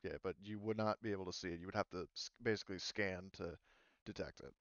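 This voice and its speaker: A man with a smooth, lowish voice explains a tabletop game as its game master. He talks casually and hesitantly, rambling in an easygoing way. The sound is close and somewhat dull. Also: fairly even intonation, fast talker, a bit bright, dry recording.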